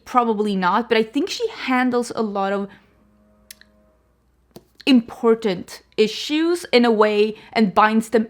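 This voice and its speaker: A young woman, talking casually to camera as she reviews books, with lots of fillers and self-corrections. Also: a little high. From Sweden. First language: Swedish. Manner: chatty, filler-heavy, frequent restarts and "like". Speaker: book reviewer. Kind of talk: casual book review chat.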